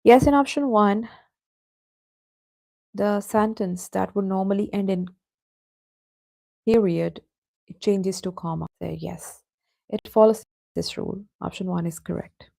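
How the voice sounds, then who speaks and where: Patient female teacher for an online class, gravelly voice, dry close sound. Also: mid-range voice, unhurried, pauses often, warm tone, dry recording, low-quality recording. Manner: patient, addresses students by name.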